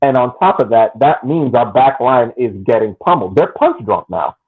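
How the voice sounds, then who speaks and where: A man's voice: mid-range voice, expressive, moderate pace, breathy edge, phone-quality sound. A man co-hosting a casual sports podcast, giving long analytical takes with frequent restarts. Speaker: podcast co-host.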